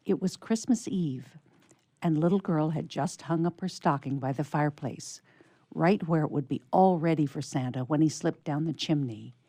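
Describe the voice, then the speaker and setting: A woman reading a children's story aloud as expressive storybook narration. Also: low-pitched, moderate pace, dry recording. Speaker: story reader.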